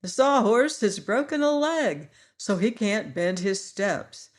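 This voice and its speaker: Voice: mid-range voice, lively intonation, slow, voice pushed up. A reader voicing an earnest young boy who explains their trouble.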